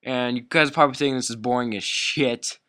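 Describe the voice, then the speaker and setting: Clear-voiced young man rambling through a story. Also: medium pitch, fairly even intonation, fast, bright, forceful tone, no echo, clean studio sound. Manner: profane, slangy, rambling. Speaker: YouTube storyteller. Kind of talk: casual storytelling.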